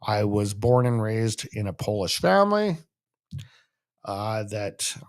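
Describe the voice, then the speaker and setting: A man's voice: on the low side, sing-song, slow pace. A Canadian man hosting a sports show, giving casual, emphatic solo hockey commentary and repeating phrases for stress.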